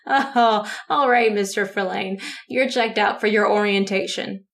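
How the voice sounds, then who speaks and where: A woman's voice: mid-range voice, a little slow, gravelly. Woman as a fussy, obliging government clerk. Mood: satisfied. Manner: fussy, repeats herself obligingly. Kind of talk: radio drama acting.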